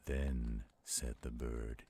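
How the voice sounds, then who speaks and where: A man voicing a theatrical, flirtatious werewolf storyteller in an audio roleplay, with a gravelly, husky voice. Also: a bass voice, little pitch movement, warm tone, heavily acted. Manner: slow, dramatic, playful. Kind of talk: audio roleplay acting with dramatic poem recitation.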